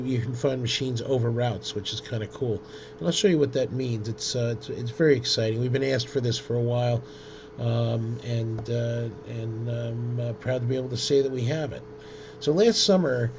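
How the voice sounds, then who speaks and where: Male tutorial presenter, informal and hesitant with a creaky voice; dull recording with heavy noise. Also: mid-range voice. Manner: hesitant, halting delivery with frequent ums. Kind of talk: informal screen-share software demonstration. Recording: dull lo-fi audio with loud noise.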